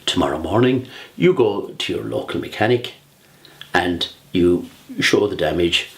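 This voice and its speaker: Low-pitched older Irish man, theatrical. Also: lively intonation, measured pace. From Ireland. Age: 60s. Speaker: humorous public speaker. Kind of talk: humorous prepared speech to camera.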